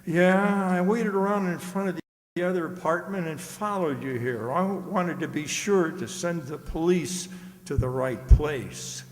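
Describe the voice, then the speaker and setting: A stubborn gentleman in his sixties played by a man in an old-time radio detective play. His fairly high voice is prim, formal and indignant, ringing through a reverberant hall. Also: measured pace, close to an everyday voice. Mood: smug, accusing. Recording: echoey hall with long reverb.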